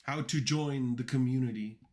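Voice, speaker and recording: A man's voice: medium pitch, dark tone. Rambling man chatting on a coding stream. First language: Dutch and Turkish. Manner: rambling, halting, lots of fillers and restarts. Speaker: coding livestreamer. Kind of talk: casual livestream chat while coding.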